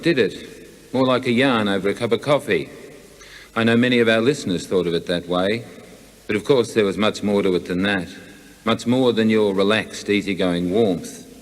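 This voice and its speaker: An Australian man delivering a prepared, plain-spoken eulogy, addressing a late friend and colleague in a measured, reflective way. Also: fairly low pitch. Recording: long hall reverb.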